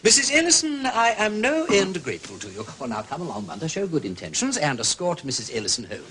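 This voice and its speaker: Aristocratic gentleman sleuth: upper-class English, suave and arch, hugely animated intonation, period mystery, some background noise. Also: fairly high pitch, fast talker, exaggerated voice. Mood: effusively courteous. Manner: suave, arch, theatrical, plummy. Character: urbane, breezy aristocratic gentleman sleuth, smoothly courteous. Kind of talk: period mystery drama dialogue.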